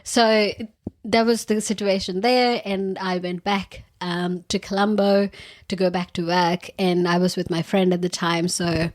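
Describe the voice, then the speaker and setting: A woman's voice: on the low side, steady tone, a little slow. A young woman with a Sri Lankan accent co-hosting a podcast in casual, chatty talk, laughing often and full of fillers. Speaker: podcast co-host. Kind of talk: casual chat.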